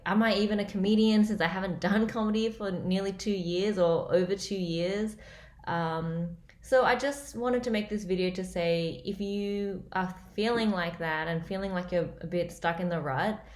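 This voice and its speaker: A young woman with an Australian accent, a comedian chatting casually to camera from a small room, loose and full of fillers. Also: on the low side. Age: young adult. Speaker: comedian vlogger. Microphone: laptop webcam at close range. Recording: roomy, echoey home recording.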